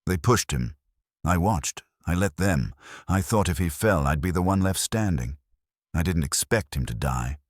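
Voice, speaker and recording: A defeated, ambitious woman in an audiobook mystery, played by a deep-voiced man. She speaks bitterly in short confessional phrases, husky and subdued, with a dry, close sound. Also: expressive, no room sound. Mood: bitter regret. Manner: halting, confessional.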